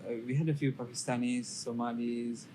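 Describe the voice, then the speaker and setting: Man in his twenties, flat and steady. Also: medium pitch, low-quality recording. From Saudi Arabia. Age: 20s. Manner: even, measured, with many fillers. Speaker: podcast guest. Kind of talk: casual interview storytelling. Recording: noticeable background hiss.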